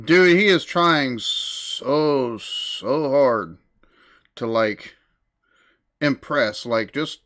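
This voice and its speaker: Slow-talking American reaction host. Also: male voice, mid-range voice. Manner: laughs loudly, swears, slangy. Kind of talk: casual reaction commentary. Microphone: headset mic.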